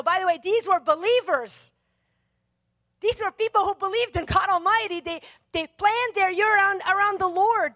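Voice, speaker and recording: A woman with a high voice preaches in a very dry, close sound. She teaches emphatically, leaning on repeated phrases, and shifts between hushed intimacy and forceful declaration. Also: dry recording. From Lebanon. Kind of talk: sermon with scripture reading and personal testimony.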